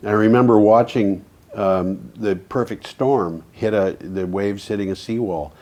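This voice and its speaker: A man with a fairly low voice co-hosts a community TV chat about energy. He rambles through his stories, restarts sentences and lets them trail off quietly. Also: unhurried. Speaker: co-host of an energy talk show. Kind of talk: casual studio chat.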